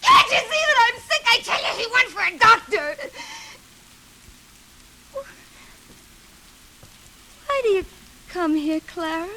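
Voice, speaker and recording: A deranged, ailing woman in a vintage radio thriller. Her voice is very high and piercing, and she drags her words out haltingly with bitter menace. The old recording is noisy. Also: sing-song, pushed and bright, heavily acted. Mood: evasive, agitated. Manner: halting, melodramatic, repeats herself. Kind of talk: 1940s radio thriller acting. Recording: hissy old radio recording with background noise.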